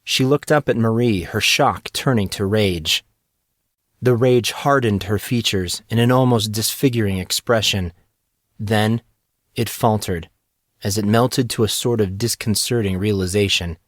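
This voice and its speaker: Slow, deep-voiced male storyteller. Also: no echo, studio quality. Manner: steady, measured storytelling. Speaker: author and narrator. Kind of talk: scripted fantasy audiobook narration.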